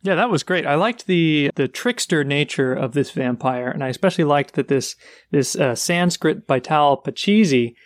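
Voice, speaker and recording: Slightly high-voiced man explaining books. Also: animated, moderate pace, no echo, clean studio sound. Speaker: podcast co-host. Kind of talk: casual book chat.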